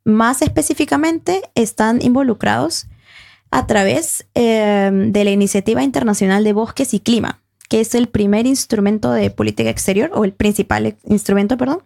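A young woman with a slightly gravelly, fry-tinged voice. She explains ideas thoughtfully, pausing on fillers and correcting herself as she goes. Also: fairly high pitch, darkish tone. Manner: thoughtful, hesitant, many fillers and self-corrections. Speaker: panel guest. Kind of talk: academic discussion.

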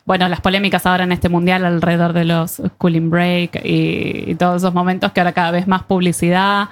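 A woman's voice: fairly low pitch, flat delivery, a little fast. A young woman with a Rioplatense Spanish accent, hosting a casual chat in a joking, slangy way. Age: young adult.

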